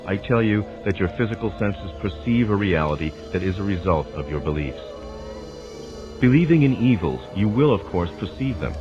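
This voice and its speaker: Deep-voiced man reading spiritual teachings over music. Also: muffled recording. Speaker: narrator.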